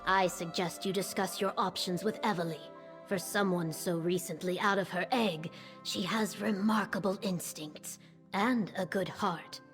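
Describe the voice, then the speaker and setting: A woman playing a dignified, protective reptilian governor in a fantasy video game, speaking in a measured, formal way. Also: on the low side, fairly even intonation, music in the background. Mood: assured, formal.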